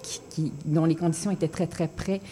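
A woman's voice: low voice, steady tone, a little fast, mellow, slight background noise. A woman from Quebec, a poet guest in a radio interview, talking hesitantly with many fillers and restarts. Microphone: studio microphone.

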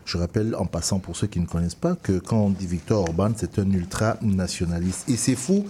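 A man hosting a conversational interview, talking in an animated, digressive way and circling back to rephrase. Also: low voice, a little fast, slight background noise. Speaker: host interviewing a guest.

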